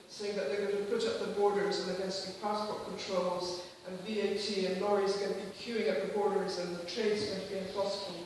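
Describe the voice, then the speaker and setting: A woman from Switzerland giving an informal, earnest, somewhat hesitant speech at a public meeting. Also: mid-range voice. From Switzerland, grew up in Geneva. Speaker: campaign speaker. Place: school hall at a public meeting. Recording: echoey hall, distant mic, faint noise.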